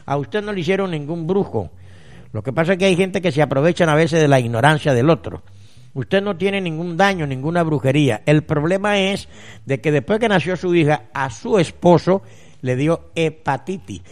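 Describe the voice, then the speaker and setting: Higher-voiced radio advice man. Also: lively intonation, moderate pace. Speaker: radio host and natural healer. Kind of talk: radio advice show, reading listeners' letters and answering them.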